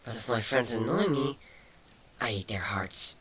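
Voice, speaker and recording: A performer voicing a feisty, defensive female changeling with a sharp tongue in a dramatic story reading. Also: medium pitch, animated, measured pace. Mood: sullen, gruff. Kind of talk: dramatic fan fiction reading.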